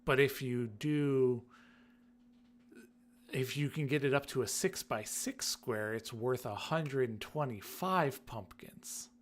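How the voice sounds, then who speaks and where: Unhurried, slow-talking male podcast co-host. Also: medium pitch, slight background noise. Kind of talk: casual gaming podcast chat.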